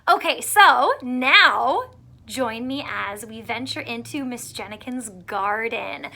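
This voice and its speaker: A woman's voice: fairly high pitch, lively intonation, takes it slowly, gravelly, sharp tone. A woman teacher giving children an upbeat read-aloud and gardening demonstration in simple terms.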